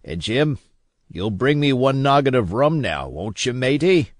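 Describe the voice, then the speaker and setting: A man voicing a weak, feverish old sea captain who curses and pleads in rough sailor talk. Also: mid-range voice, sing-song, measured pace, dry recording, clean studio sound, pitched above the performer's own voice. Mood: pleading, grumbling. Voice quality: feeble, wheedling.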